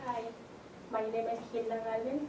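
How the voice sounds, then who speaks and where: Woman, from Mexico, halting, self-correcting, distant, echoey, noisy. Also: a little high, level tone.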